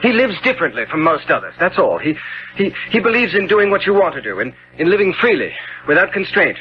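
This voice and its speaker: An actor playing an evasive young gentleman of London society in a 1970s radio drama, stammering when pressed. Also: sits high, very animated, fast talker. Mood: defensive. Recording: dull, noisy old radio broadcast.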